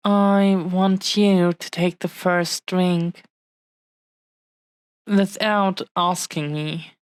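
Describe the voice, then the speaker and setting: A woman acts out an adoring dragon-blooded heroine in an intimate audio roleplay. She speaks very slowly in a slightly low female voice, pausing often as she earnestly addresses her beloved. Her delivery is tender and possessive, captured close and dry. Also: steady tone, clean tone, no room sound. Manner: hushed-paced, halting, affectionate, earnest delivery with long pauses.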